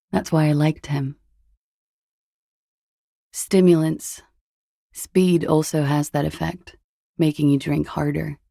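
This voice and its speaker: An actress playing a jaded, hard-partying young disco dancer in a video game, husky and languid, with a guarded, deadpan delivery. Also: female voice, low voice, no echo, clean studio sound, exaggerated voice. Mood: wry, languid. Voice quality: husky, gravelly with vocal fry. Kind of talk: video game voice acting, intimate dialogue.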